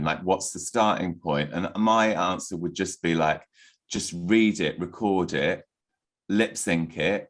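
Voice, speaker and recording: A man's voice: deep voice, fairly bright tone. A man on a panel answering a question casually, with a loose, chatty delivery. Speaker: project team member on a panel.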